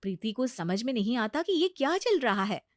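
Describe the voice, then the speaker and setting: A woman narrates a scripted story. Her voice is high and moves up and down in an animated way. The recording is very dry, with no room sound. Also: normal speed, dry recording.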